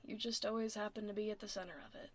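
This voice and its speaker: A woman playing a laid-back, caring tomboy werewolf office admin in a soft, casual, often breathy ASMR roleplay, close to the microphone. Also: mid-range voice, level tone, racing, lightly acted. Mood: teasing, concerned. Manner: casual, teasing, reassuring. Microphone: close binaural microphone.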